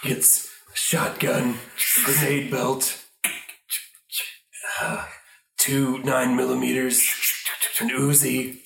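A man narrating an improvised radio play in a halting, made-up-on-the-spot way. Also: mid-range voice, monotone, creaky, a bit bright. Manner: halting, making it up as he goes. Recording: roomy echo, distant from mic.

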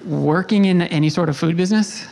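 A woman's voice: fairly low pitch, brisk, creaky, mellow, slight background noise. A woman hosting a podcast, chatty and upbeat, with plenty of fillers. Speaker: podcast host. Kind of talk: casual chat and intro monologue.

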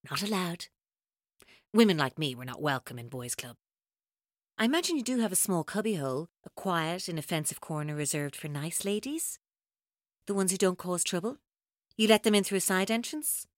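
A woman acting as an unhinged female captor in a radio play, swooping widely in pitch. She is taunting and theatrical, turning from playful to bitterly intense, heard very close and dry. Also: no room sound, lightly acted. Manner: theatrical, mocking, mercurial, intense. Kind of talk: audio drama acting.

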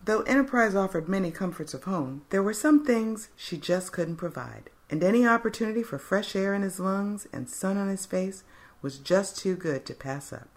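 A woman's voice: mid-range voice, expressive, normal speed. A woman narrator giving a scripted dramatic reading of fan fiction.

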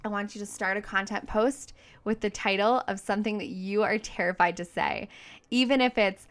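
Woman podcast host doing a motivational talk, super emphatic n outgoing, slangy, medium pitched voice. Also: moderate pace. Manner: outgoing, self-described big voice.

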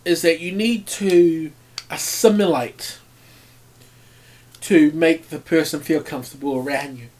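A man co-hosting a podcast, halting and easily amused, often restarting his sentences. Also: on the high side, a little mellow. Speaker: podcast co-host. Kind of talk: casual podcast banter.

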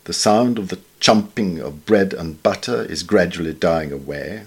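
A British man reading a scripted literary essay in a formal, measured, wryly understated way. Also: low-pitched, husky. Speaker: reader of newspaper essays.

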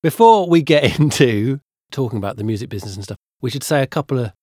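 A man's voice: mid-range voice, lively intonation, fast, a little mellow, husky, no room sound, studio quality. A man co-hosting a podcast, chatting in a teasing, laid-back way. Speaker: podcast co-host. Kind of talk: casual chat.

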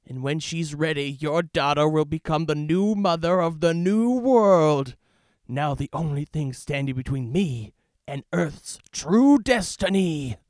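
A man's voice: fairly high pitch, a little mellow, no echo, studio quality. A man playing a grandiose, menacing video game villain in a theatrical dramatic reading. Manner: theatrical, slow menacing pronouncements.

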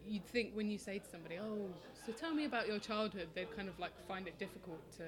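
A young woman from England with an English accent, answering interview questions in a relaxed, hesitant way with many ums and restarts. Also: mid-range voice, quick pace. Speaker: interview guest, oral history project maker. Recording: crowd noise in background.

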